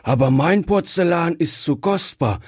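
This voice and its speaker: A man voicing a cautious, gruffly kind old shopkeeper in a storybook, measured and hesitant. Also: fairly high pitch, expressive, darkish tone, no room sound, studio quality, voice pushed up. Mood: hasty, protective. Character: grey-headed old male shopkeeper, cautious, guilt-ridden, gruffly kind. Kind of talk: acted storybook dialogue.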